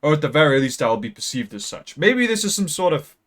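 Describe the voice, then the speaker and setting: Young man, late teens, deadpan mock-math rambler, hesitant, close peaking mic. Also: fairly high pitch, fast talker. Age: young adult. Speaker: video creator. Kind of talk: mock-serious graph explainer. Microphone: close mic that peaks.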